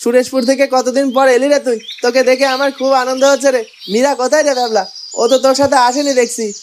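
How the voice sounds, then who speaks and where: A woman plays a cheerful young palace guard in a fairytale cartoon. The voice is markedly high, chummy and loyal, with slight background noise. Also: little pitch movement, fairly quick, natural voice. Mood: delighted. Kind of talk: Bengali fairytale cartoon dubbing.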